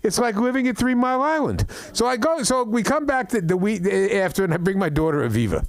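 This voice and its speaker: A middle-aged man doing an open mic stand-up set, rambling through stories with stammered restarts and deadpan complaints. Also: high-pitched, fairly quick. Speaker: stand-up comedian. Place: comedy club open mic.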